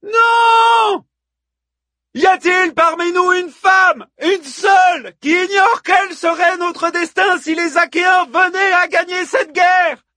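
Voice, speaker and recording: A male narrator puts on a robust, fiery woman of ancient Troy in an epic audiobook. The voice is pushed very high and shouted, bright and forceful, with impassioned calls hurled at a crowd in a dry recording. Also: slow, no room sound, exaggerated voice. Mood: fierce, bellowing. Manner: impassioned, bellowing oratory with rhetorical repetition. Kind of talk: audiobook character voice, epic fiction.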